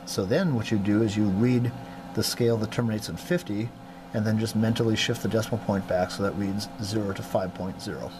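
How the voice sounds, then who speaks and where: A man giving a methodical hands-on demonstration of electronics test equipment as an instructor, clearing his throat now and then, at a workbench indoors. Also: fairly low pitch, fairly even intonation, brisk, noisy background. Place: indoor workroom.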